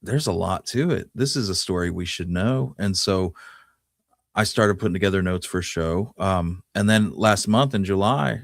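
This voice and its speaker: A man with a low-pitched voice talks casually as the co-host of a podcast. He is laid-back and conversational. The sound is dry, without room echo. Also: normal speed, vocal fry. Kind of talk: casual chat.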